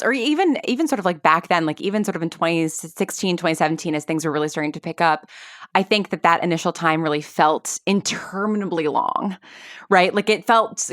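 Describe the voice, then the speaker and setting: A woman's voice: mid-range voice, a bit bright, dry recording, clean studio sound. A woman in her thirties chatting casually as a podcast guest, rambling through stories with lots of filler words like "like" and "sort of". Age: 30s. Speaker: podcast guest, audio drama creator and actor. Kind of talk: casual conversational storytelling.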